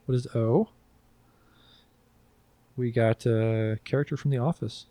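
A man's voice: medium pitch, steady tone, unhurried, warm tone, dry recording. A man hosting a podcast quiz in a casual, bantering style. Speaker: podcast host running a quiz.

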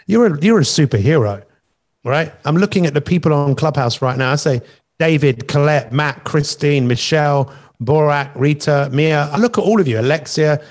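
A man's voice: fairly high pitch, moderate pace. Middle-aged man, motivational coach talk. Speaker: life coach and masterclass host. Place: online video call.

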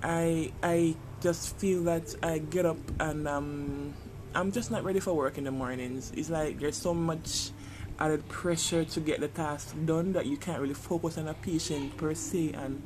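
A man's voice: fairly high pitch, steady tone, a little slow, noisy background. A man with a Jamaican accent speaking in a casual personal podcast monologue, hesitant and reflective, often searching for his words. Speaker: podcast host.

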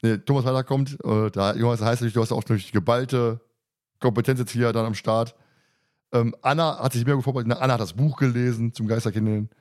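A man's voice: mid-range voice, steady tone, fast, darkish tone. A man hosting a podcast, chatting informally and colloquially with his co-hosts. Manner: informal, colloquial, mildly crude. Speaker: podcast host and moderator. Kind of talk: casual podcast chat among co-hosts.

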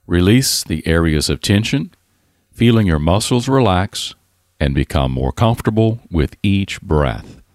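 A man narrating a scripted guided relaxation in a calm, soothing, deliberate manner with pauses. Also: lowish voice, no room sound, clean studio sound.

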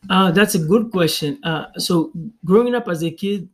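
A man with a Congolese accent speaks in a slightly high voice. He answers interview questions reflectively as a pastor, often pausing and restarting words while he thinks. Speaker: guest, pastor.

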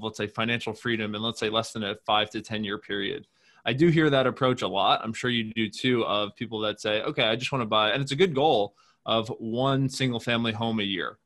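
Bright-toned male podcast host. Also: on the low side. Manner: enthusiastic, conversational, fillers. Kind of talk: podcast interviewing and tips.

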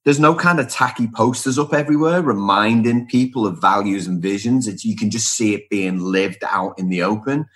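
A man's voice: on the low side, normal speed, few pauses, fairly bright tone. A middle-aged man from Liverpool, England, answering conversationally as an interview guest, with emphatic repeated phrases and lists. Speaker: podcast guest, speaker and author on workplace culture.

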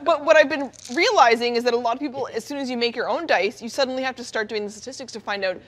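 A woman with a fairly high voice in casual group conversation. She talks with chatty enthusiasm and a playful, teasing edge. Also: fast. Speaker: panelist on a tabletop gaming talk show. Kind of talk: casual chat.

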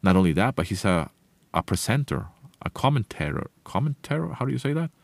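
A man's voice: on the low side, sing-song, fairly quick, creaky, no echo. A man whose first language is Spanish, hosting a solo motivational podcast in an earnest, conversational way with frequent restarts. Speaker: podcast host.

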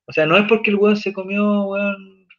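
A Chilean man with a notably high voice talks in a loose, joking, slang-filled way as he hosts a casual chat. The recording sounds dull and lo-fi. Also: little pitch movement, moderate pace, fairly bright tone. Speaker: podcast host. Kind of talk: casual chat reading viewer comments.

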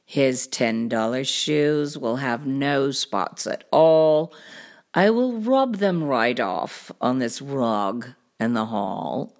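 Woman voicing a deep, drawling, cocky storybook cat. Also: slow, heavily acted. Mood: smug. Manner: drawling, chuckling, boastful. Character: big cocky laughing male cat, lazily confident trickster.

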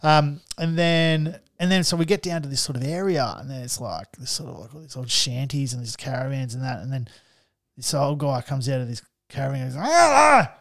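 A man's voice: medium pitch, lively intonation, fairly quick, vocal fry, fairly bright tone, no echo, clean studio sound. A young Australian man telling stories in casual podcast chat, laid-back and swearing freely. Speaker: podcast host.

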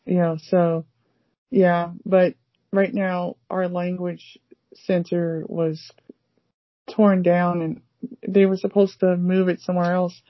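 A woman with a lower voice chats casually, rambling and restarting with plenty of fillers. The recording is dry and muffled. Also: flat delivery, slow pace, no echo. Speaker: language learner guest. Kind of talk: casual conversation.

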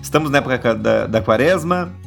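A Brazilian man talking into his podcast mic with a stuffy, nasal voice from a cold. He chats easily, tossing in little tag questions as he goes, with soft music playing faintly underneath. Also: mid-range voice, expressive, a little fast, creaky. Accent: Brazilian Portuguese. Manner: chatty, warm asides, many tag questions. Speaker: podcast host. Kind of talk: casual religious podcast chat.

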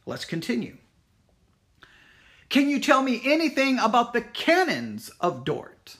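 Church teacher, very lively swooping intonation, emphatic, challenging, repetitive phrasing, informal teaching talk. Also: mid-range voice, measured pace. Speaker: Bible teacher at a Baptist church.

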